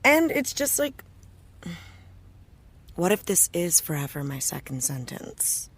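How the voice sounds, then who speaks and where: A jaded American actress in a naturalistic audio drama. Her voice sits low and moves slowly, with big expressive swings. She is wry and casual, doubting herself and letting thoughts trail off. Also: heavily acted. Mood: anxious, wry.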